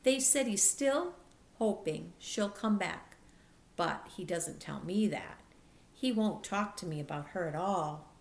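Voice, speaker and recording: A woman's voice: fairly low pitch, animated, takes it slowly, gravelly, reverberant, exaggerated voice. A woman voicing an earnest, lonely ten-year-old girl who confides and pleads emphatically in a storybook. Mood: wistful.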